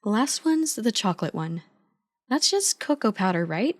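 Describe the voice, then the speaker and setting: A woman's voice: medium pitch, sing-song, normal speed, no echo, clean studio sound. A woman playing an affectionate, playful girlfriend in an ASMR roleplay, chatty, teasing and supportive as an eager but clumsy baker. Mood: warm, focused.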